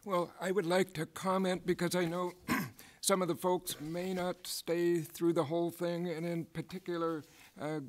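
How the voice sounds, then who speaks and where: A man on a city council making conversational, slightly halting remarks. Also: high voice, flat delivery. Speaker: council member. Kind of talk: meeting remarks.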